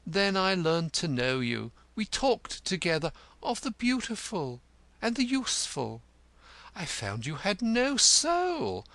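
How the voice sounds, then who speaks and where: A man's voice: high voice, a bit bright, no echo, pitched above the performer's own voice, heavily acted. A man voicing a pompous, self-important male poet in an audiobook, speaking in a florid, easily offended way. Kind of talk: audiobook character voice.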